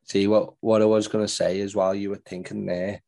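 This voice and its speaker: A man's voice: fairly low pitch, little pitch movement, brisk, creaky, mellow, no room sound. A man hosting a casual chat over a video call, joking and laughing often. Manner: jokey, laughs often, stumbling starts. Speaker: host.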